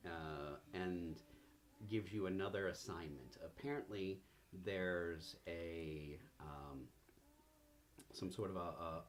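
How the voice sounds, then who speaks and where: Slow, low-voiced man running a game. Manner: halting, searching for words. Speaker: game master. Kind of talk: narrating a tabletop game session.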